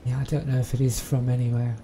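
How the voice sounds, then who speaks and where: A woman's voice: a bass voice, flat delivery, quick pace, background noise. A woman with a Southern English accent chatting casually as she plays a game, rambling with plenty of ums. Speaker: game streamer. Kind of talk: casual let's-play commentary.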